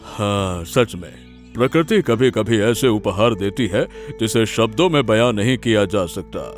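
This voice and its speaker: A man's voice: fairly low pitch, animated, measured pace, soft background music. A man voicing a genial, easily delighted old village grandfather in a cartoon story, hearty and given to repeated exclamations. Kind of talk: Hindi cartoon story dialogue.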